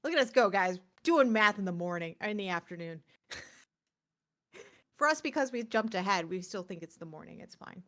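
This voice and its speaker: A woman's voice: mid-range voice, animated, moderate pace, fairly bright tone. A woman chatting casually and unscripted as a live stream co-host, talking with her partner and viewers.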